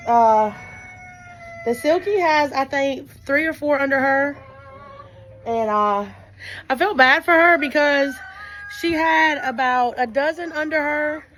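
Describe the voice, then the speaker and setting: A hoarse woman chatting casually at her chicken coop, rambling with lots of fillers. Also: high-pitched, fairly bright tone. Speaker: backyard chicken keeper. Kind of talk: casual vlog chat. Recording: noisy outdoor farmyard recording. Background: chickens clucking and a rooster crowing.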